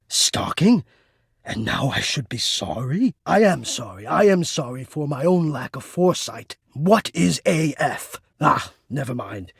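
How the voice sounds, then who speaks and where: A man voicing a shy, devoted, lovesick home heating system for a romance video game, speaking in a halting, intimate and earnestly formal way. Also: medium pitch, creaky, breathy edge, no echo, studio quality, exaggerated voice. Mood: wounded, indignant.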